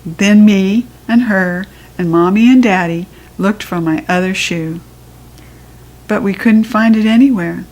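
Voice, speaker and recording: Expressive American woman reading a children's story. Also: mid-range voice, unhurried, low-quality recording. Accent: General American. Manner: expressive, childlike first-person telling. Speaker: children's book reader. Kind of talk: read-aloud storybook narration.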